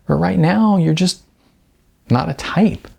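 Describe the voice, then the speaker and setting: Man voicing a blunt, gravelly female talent agent. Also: high-pitched, slow pace, mellow, pitched above the performer's own voice, full character voice. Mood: blunt, dismissive. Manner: emphatic, patronizing, chatty, uses endearments. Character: blunt, brisk middle-aged female modeling agent. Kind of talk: audiobook character dialogue.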